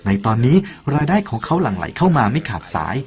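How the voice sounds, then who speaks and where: A man's voice: medium pitch, very animated, fast. A man narrating a parable in an earnest, persuasive way and speaking directly to the listener. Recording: soft music behind the voice.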